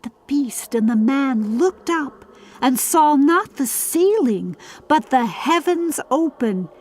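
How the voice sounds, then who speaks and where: A woman narrating a scripted fantasy audiobook in a formal, theatrical, measured storybook manner. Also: high voice, expressive, a little mellow. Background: faint music.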